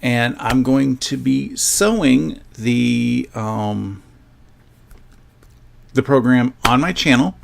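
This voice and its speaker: Gravelly-voiced man chatting on a livestream. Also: mid-range voice, takes it slowly, pauses often. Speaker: host of a sewing livestream. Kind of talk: casual livestream chat with viewers.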